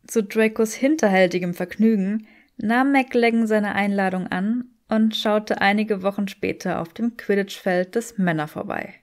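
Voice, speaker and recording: Female audiobook narrator, mid-range voice, scripted storytelling delivery. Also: medium pitch. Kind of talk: scripted fan fiction narration.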